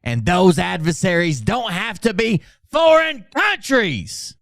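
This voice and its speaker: Man acting out a pompous male orator, grandiose, declamatory, slow and drawn-out, raised pitch, dry recording. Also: very animated, creaky, fairly bright tone, no echo, exaggerated voice. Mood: grave, solemn. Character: pompous, self-important male doomsaying orator. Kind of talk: over-dramatic reading.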